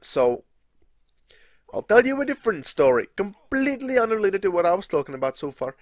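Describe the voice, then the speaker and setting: A man's voice: sits high, sing-song, a little fast, no room sound, clean studio sound. A young man from the Czech Republic with a Czech accent, rambling casually as he commentates a game, restarting sentences and swearing now and then. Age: young adult. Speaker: gaming commentator.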